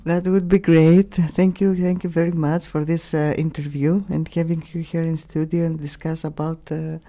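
A middle-aged woman with a Greek accent speaks in a fairly low, soft-edged voice. She gives unscripted interview answers haltingly, often pausing to find the word. The tone is dark and relaxed, close on a studio mic. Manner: halting, searching for words. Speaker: radio station staff member, interviewee. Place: small radio studio. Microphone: broadcast mic on boom arm.

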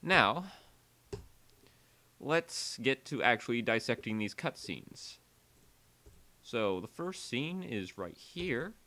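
Man with lively, swinging pitch narrating a tutorial. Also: takes it slowly, pauses often. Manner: conversational, self-interrupting, uneven energy, dwells on key words. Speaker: tutorial creator and presenter. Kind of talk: casual software tutorial voice-over.

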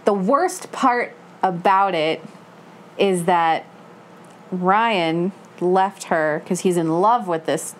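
Low-pitched woman chatting about books. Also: takes it slowly. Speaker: book club co-host. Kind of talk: casual book discussion. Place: living room couch, indoors. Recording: clear background room noise.

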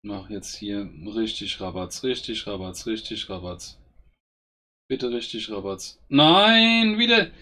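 A man's voice: lowish voice, animated, slow pace, bright, forceful tone. Grumbling man playing a mobile game. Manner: grumbling, exasperated, swears at his own units. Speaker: gaming YouTuber. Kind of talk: casual gameplay commentary.

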